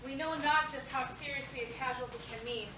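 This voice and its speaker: A woman's voice: high voice, brisk, natural voice. A woman playing an earnest volunteer consoling wounded soldiers by letter, in a staged reading. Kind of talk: staged play reading, rhymed verse. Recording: echoey theatre, distant mic, noisy.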